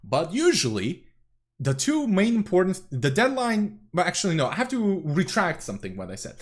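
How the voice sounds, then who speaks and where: Man, young adult, streamer commentary, rambling, animated pitch. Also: moderate pace. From Serbia. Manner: rambling, swears often, corrects himself. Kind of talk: casual reaction commentary.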